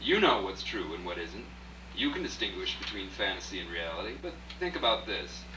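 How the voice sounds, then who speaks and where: A man's voice: sits low, very animated, brisk. A man playing a wry writer who narrates his own story in a radio play, confiding in the listener and putting questions to them. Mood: confiding, challenging. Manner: confiding, rhetorical, addressing the listener directly. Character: wry out-of-work male writer turned rich storyteller. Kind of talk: live radio play, first-person dramatic narration. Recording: echoey distant live rehearsal, faint hum.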